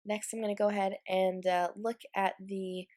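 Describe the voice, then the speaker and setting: A woman's voice: medium pitch, level tone, moderate pace, darkish tone. Congested young woman chatting casually. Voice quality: stuffy nasal. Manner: chatty, with fillers and light laughs. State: congested from a cold. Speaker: Bible journaling vlogger. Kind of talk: casual craft tutorial voice-over.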